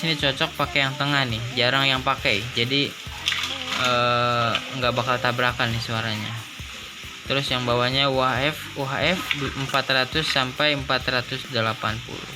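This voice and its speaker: Casual man reviewing, music behind. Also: medium pitch. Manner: informal, thinking aloud. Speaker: product reviewer. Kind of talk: casual unboxing commentary.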